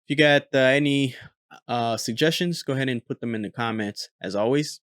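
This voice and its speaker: A man's voice: medium pitch, fairly quick, no echo, clean studio sound. A man, a former military air traffic controller, hosting casual commentary on a recorded radio exchange, talking easily with many fillers. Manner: relaxed, frequent filler "uh".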